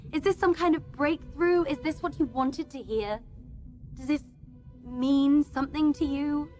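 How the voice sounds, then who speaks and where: A woman playing a rich boss's entitled, disdainful daughter in a radio play, sarcastic and scornful. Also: high-pitched.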